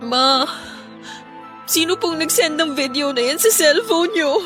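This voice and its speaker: A woman's voice: high-pitched, lively intonation, unhurried, music in the background. A woman playing an ashamed, fearful schoolgirl daughter, meek and sorrowful, in an emotional radio drama. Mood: nervous.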